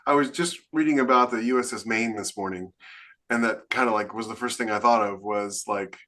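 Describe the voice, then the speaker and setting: Bright, forward-voiced male podcast host. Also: on the low side, a little fast. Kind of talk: casual podcast chat.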